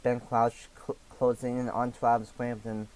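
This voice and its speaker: A young man commentates a sim race lap by lap, his voice a little raspy from allergies. He talks in halting bursts full of fillers and restarts, over a faint hum of ambience. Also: medium pitch, level tone, darkish tone. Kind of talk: play-by-play sim racing commentary.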